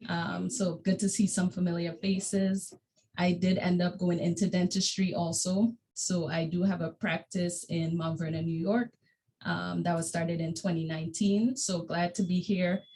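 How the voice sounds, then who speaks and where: A woman in her forties from Trinidad, a dentist introducing herself on a video call, friendly and brisk. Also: on the low side, level tone, warm tone, a little hiss or hum. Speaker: dentist, alumna speaker. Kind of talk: virtual panel introduction.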